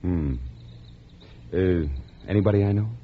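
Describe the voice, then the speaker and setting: A man's voice: low voice, unhurried. An actor playing a devoted, easygoing male lover with a teasing, informal manner in mid-century radio drama. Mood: playful, tender. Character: playful, devoted male lover, a piano player. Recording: dull, noisy old radio recording.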